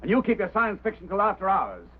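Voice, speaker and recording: Brusque, fast-talking boss, rough voice. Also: male voice, high voice, sing-song, exaggerated voice. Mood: scornful. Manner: curt, sarcastic, commanding. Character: brusque, skeptical, domineering male boss. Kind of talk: 1950s drama acting. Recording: tinny vintage soundtrack.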